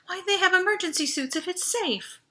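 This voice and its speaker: A woman voicing a nervous, excitable teenage girl in a sci-fi storybook, small-voiced and easily amazed. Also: very high voice, brisk, voice pushed up. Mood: alarmed, timid. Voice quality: small, breathy, emotional voice. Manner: exclaiming and questioning, easily amazed.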